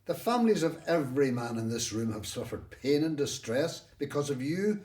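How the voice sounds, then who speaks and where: A man acts a displeased Hebrew elder in a biblical radio play, standing off from the mic. His mid-pitched voice is formal and reproachful. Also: big pitch swings, moderate pace, darkish tone, natural voice. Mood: reproachful, angry. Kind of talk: radio Bible drama acting. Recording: distant from microphone.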